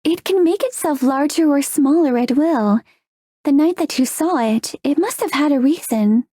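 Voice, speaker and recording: Gentle young forest ghost: high, mellow voice, soft and formal, visual novel game. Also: female voice, animated, dry recording, studio quality. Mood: calm, kindly. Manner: soft-spoken, formal, measured.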